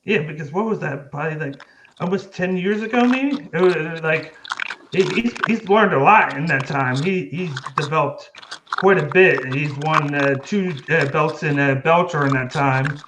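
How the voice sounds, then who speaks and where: A male podcast host works through his questions haltingly, tripping over fillers and restarts. His voice runs a bit high. Steady noise and clicks sit under his audio. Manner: hesitant, many uh fillers and restarts. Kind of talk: casual interview hosting.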